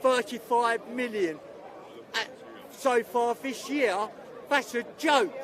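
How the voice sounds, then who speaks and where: A man with a high voice answers a street reporter bluntly and plainly. He speaks at a protest outdoors, and a loud crowd surrounds him. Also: slow pace. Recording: outdoor recording with crowd around. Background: crowd noise.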